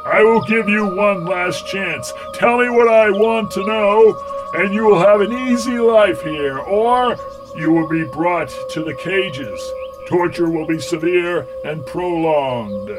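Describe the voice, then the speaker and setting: A man's voice: high-pitched, slow pace, music in the background, poor audio. A man voicing a smug, cruel cult tyrant in an audiobook, speaking in a pompous, commanding and mockingly formal way. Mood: furious, threatening. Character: menacing male cult tyrant, smugly cruel, imperious. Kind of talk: theatrical audiobook villain.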